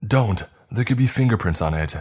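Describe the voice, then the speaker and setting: A man's voice: low-pitched, animated, normal speed, husky. A man voicing a panicked, angry husband who denies murder and mocks his accuser. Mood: mocking. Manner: defensive, scoffing, shouting.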